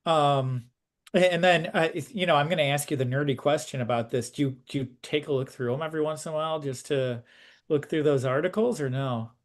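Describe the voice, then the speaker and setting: A man's voice: on the high side, a little fast. A man conducting a casual interview, rambling thoughtfully on the way to his questions.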